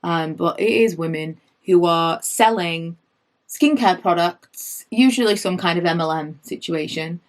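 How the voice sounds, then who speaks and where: A woman in her late thirties, slightly hoarse from losing her voice, chatting through her makeup favourites and wandering off on tangents. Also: lowish voice, measured pace. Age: late 30s. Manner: rambling, goes off on tangents; animated, rises when indignant. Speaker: beauty vlogger.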